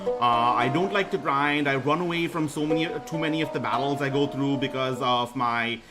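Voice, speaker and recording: A young man with a baritone voice introducing himself on his debut stream, talking haltingly with lots of ums and restarts. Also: poor audio. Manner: hesitant, many ums and restarts. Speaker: VTuber streamer. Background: music playing.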